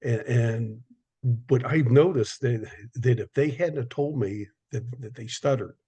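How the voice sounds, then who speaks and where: A man's voice: medium pitch, unhurried, warm tone, slightly rough. A man hosting a podcast, speaking casually with frequent stuttered repetitions. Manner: stuttering speech with repetitions, conversational. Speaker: podcast host, business owner. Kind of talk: casual conversation.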